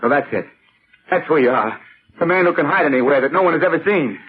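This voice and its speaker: An actor playing a suspicious, nervous small-time crook in old radio drama, gruff and blustering, full of slang. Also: male voice, a little high, expressive, fast talker. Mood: uneasy, blustering. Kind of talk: 1940s radio acting. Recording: tinny, phone-like old radio recording.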